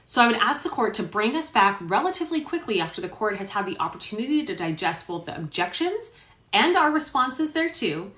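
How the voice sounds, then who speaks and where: Indignant attorney, acted by a woman, formal dramatic-reading delivery, very animated pitch, roomy sound. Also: lightly acted. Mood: formal, insistent. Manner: formal, emphatic, self-righteous. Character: indignant, emphatic male defense attorney arguing in court. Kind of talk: dramatic reading of court argument. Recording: roomy echo.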